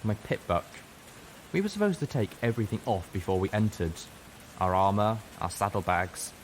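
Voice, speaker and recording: A man's voice: on the low side, very animated, normal speed, a little hiss or hum. A man reading a scripted first-person story as a dramatic narrator. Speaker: audiobook reader.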